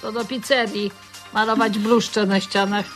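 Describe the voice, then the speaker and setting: An actress playing a coarse, foul-mouthed store security guard who hectors with scornful contempt in a radio drama. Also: female voice, medium pitch, level tone, unhurried, a bit bright, soft background music, close to an everyday voice. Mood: contemptuous.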